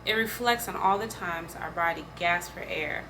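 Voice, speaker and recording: A woman's voice: lowish voice, moderate pace. A woman reading spoken-word poetry aloud in an earnest, emphatic way. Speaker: poetry reader. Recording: faint background noise.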